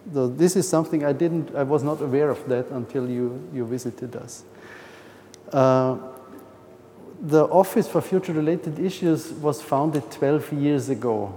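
A man's voice: on the high side, measured pace, mellow, long reverb tail, a little hiss or hum. A man from Austria, speaking English with a heavy Austrian German accent, gives a nervous, hesitant conference presentation with many fillers and restarts.

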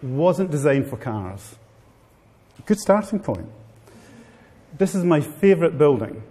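A man's voice: fairly high pitch, big pitch swings, takes it slowly, warm tone. A middle-aged man with an Italian accent, giving a wry, informal talk to a live seminar audience. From Italy. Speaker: guest speaker. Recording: long hall reverb.

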